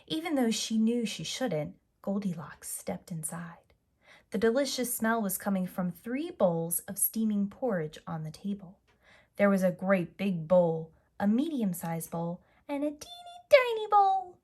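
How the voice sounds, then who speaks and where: A woman telling a story to preschoolers in an expressive, emphatic way. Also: mid-range voice, unhurried. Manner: emphatic, draws out size words. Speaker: children's storyteller.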